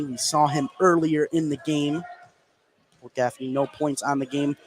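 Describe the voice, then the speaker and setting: Clear gym noise sits behind a man with an American accent and a fairly high voice. He calls basketball play-by-play for radio, tracking the action in running detail. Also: poor audio. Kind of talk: live sports radio play-by-play commentary. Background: basketball game ambience.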